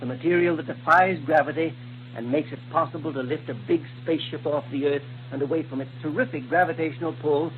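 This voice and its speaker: An actor playing a kindly, learned scientist inventor in a 1930s radio serial, explaining things in precise technical language. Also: male voice, on the high side, fairly even intonation, fast, lightly acted. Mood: earnest lecturing. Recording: muffled, noisy 1930s radio recording.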